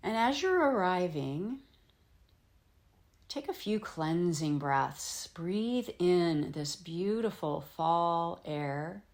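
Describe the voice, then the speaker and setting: A woman with a low voice leads a guided relaxation as a yoga nidra teacher. She speaks very slowly and gently, leaving space between short, soothing phrases. Her delivery is unhurried instruction, made for meditation. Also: sing-song. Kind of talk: guided meditation, slow instruction with long pauses.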